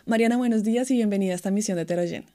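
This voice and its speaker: A woman's voice: mid-range voice, racing, mellow. A woman hosting an interview, asking long, winding questions.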